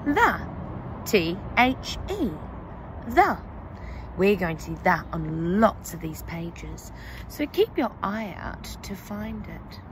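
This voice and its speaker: A young woman teacher, reading a picture book aloud to young children in a slow, exaggerated, sing-song way, recorded outdoors on a phone. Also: fairly high pitch, background noise. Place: outdoors under trees.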